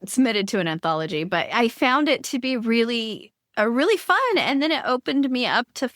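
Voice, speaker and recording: A woman's voice: on the high side, lively intonation, normal speed, fairly bright tone, dry recording. Woman, podcast host, chatty, rambling questions, playful asides, dry close mic. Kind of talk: podcast interview conversation.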